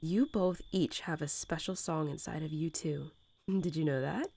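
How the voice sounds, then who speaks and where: Nurturing mother wolf, played by a woman, slightly low and soft, sometimes breathy, lulling tiny pups in a bedtime roleplay audio. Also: heavily acted. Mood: tender, encouraging. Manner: tender, unhurried, lulling storytelling. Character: gentle nurturing mother wolf talking to her newborn pups.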